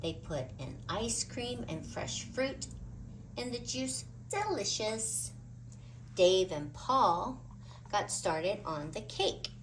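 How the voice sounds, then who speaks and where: Female storybook reader, expressive and dramatic, wide pitch movement, measured pace. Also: a little hiss or hum, low-quality recording. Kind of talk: animated read-aloud story narration.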